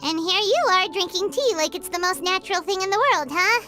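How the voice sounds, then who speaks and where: Squeaky, perky little floating companion. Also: female voice, fairly quick, pushed and bright, faint music behind. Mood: cheeky. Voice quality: squeaky, childlike. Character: small floating childlike companion, perky and cheeky. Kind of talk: video game voice acting.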